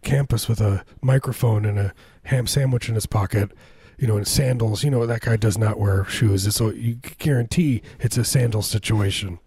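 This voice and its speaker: Male comedy podcast host, hoarse and mellow, loose absurd banter. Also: fairly low pitch, steady tone, moderate pace, hardly any pauses, dry recording. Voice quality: husky, scratchy. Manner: rambling absurd riffing. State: losing his voice, hoarse.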